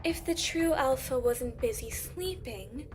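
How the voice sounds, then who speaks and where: A woman's voice: sits high, animated, a little slow, soft background music. A woman playing a haughty, ancient siren empress in a fantasy audio drama, with a regal, disdainful delivery. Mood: cool, commanding. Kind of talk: fantasy audio roleplay acting.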